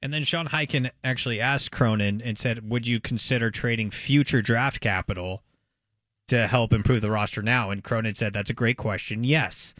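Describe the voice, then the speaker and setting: An American man co-hosting a sports talk show, speaking in a casual, animated, conversational way. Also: mid-range voice, moderate pace, no room sound. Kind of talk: casual sports radio discussion.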